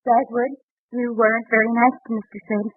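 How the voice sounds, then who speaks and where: An actress playing a sweet, sensible, gently managing young housewife in 1930s radio sitcom style. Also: female voice, a little high, flat delivery, a little fast, no room sound, lightly acted. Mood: gently prodding. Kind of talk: 1930s radio situation comedy acting. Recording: muffled vintage 1930s radio broadcast.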